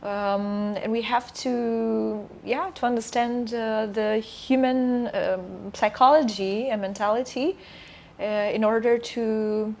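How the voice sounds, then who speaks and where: A woman's voice: a little high, fairly even intonation, slow, creaky, darkish tone, slight background noise. A woman from Romania, a native Romanian speaker and opera soprano, talking reflectively in a casual interview with many fillers and restarts.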